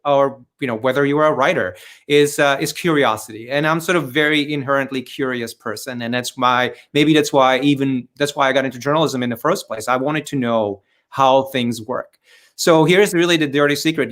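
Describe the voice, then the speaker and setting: A man's voice: mid-range voice, fairly bright tone. Male interview guest, Czech accent, middle register, conversational delivery with frequent rewording. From Czech Republic. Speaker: interview guest, corporate storyteller and former journalist. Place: remote video webinar.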